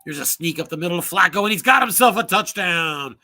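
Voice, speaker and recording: A man calling solo play-by-play for a tabletop football game, brisk and rising on big plays, losing his voice and coughing now and then. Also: high voice, lively intonation, bright, forceful tone.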